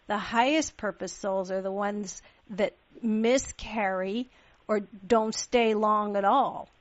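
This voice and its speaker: A woman's voice: medium pitch, slow, no echo, highs cut off. A woman from Pakistan hosting a radio interview, chatty and affirming, with many small agreements. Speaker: radio show host.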